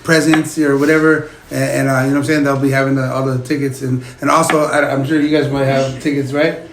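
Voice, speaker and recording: A middle-aged man from California, talking in a halting, laid-back way on a podcast, repeating his words. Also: mid-range voice, level tone, background noise. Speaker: performer and podcast guest. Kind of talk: casual podcast chat. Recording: echoey room.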